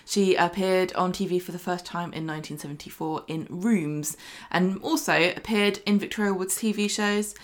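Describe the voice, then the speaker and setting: A woman hosting a podcast in casual chat, brisk and a little bossy with her co-host. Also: fairly low pitch.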